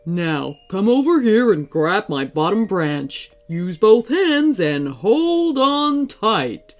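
A jolly talking Christmas tree from a storybook, put on by a woman. It speaks heartily and playfully, swooping proudly through slow, savoured phrases. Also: mid-range voice, sing-song, darkish tone, faint music behind. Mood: hearty.

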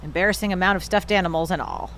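A woman with an American accent playing a brisk, teasing mother who runs a boarding house, in a naturalistic audio drama. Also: lowish voice, fairly bright tone, a little hiss or hum, close to an everyday voice. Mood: brisk, fond. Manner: wry, matter-of-fact teasing.

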